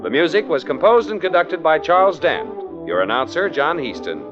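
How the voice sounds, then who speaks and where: A man's voice: fairly high pitch, sing-song, moderate pace, over music. A polished, salesmanlike man announcing and advertising in 1940s radio style, on a muffled vintage broadcast recording.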